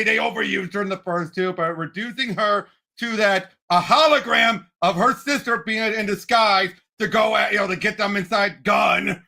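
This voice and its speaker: High-pitched, bright, creaky excitable man. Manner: excitable, emphatic fan talk. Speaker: podcast co-host. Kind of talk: casual movie discussion.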